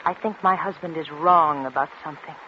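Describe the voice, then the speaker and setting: An actress playing a fragile, desperate concert pianist in a breathless, insistent 1940s radio style. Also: female voice, deep voice, brisk, natural voice. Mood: earnest, hushed. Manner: breathless, insistent, repeating herself. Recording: muffled, noisy 1940s radio recording.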